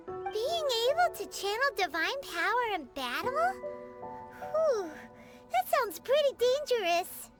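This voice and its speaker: A woman voicing a small, excitable, chatty fairy companion in a video game, with a squeaky childlike voice. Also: slow, heavily acted. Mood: suspicious, pondering. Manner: speaks of self in third person, exclamatory. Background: game music.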